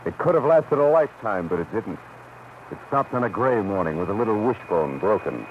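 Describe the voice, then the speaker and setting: An American actor playing a tough, wry, world-weary private detective in a classic radio noir drama, clipped and brooding. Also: male voice, on the low side, very animated, fairly quick, noisy background. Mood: somber, wistful. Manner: hard-boiled, clipped, brooding. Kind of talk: classic radio noir first-person narration and dialogue. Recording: muffled vintage radio recording, loud music behind.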